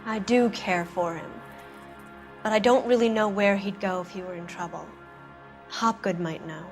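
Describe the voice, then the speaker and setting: An actress playing a jaded, evasive Hollywood producer's wife, cool, knowing and challenging, in a 1940s noir game. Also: female voice, medium pitch, steady tone, moderate pace, soft background music, natural voice.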